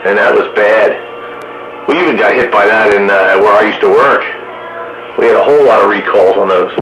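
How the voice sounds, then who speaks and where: A man's voice: fairly low pitch, a little fast, a bit bright. A man chatting casually and plainly over CB radio, with some dry banter. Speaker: CB radio roundup regular. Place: recorded from a CB radio stream. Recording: roomy, noisy CB radio recording.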